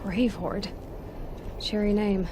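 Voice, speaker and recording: A woman's voice: medium pitch, flat delivery, slow pace, noisy background, natural voice. A woman playing a determined, guarded young huntress in a video game, probing and dryly sarcastic. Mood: probing, uneasy. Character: determined, wary, sharp-tongued young huntress heroine. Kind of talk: video game cutscene dialogue.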